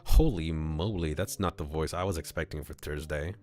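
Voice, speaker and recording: A man streaming and reading a visual novel aloud, playful and thinking out loud about his choices. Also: rumbling low, moderate pace, faint music behind.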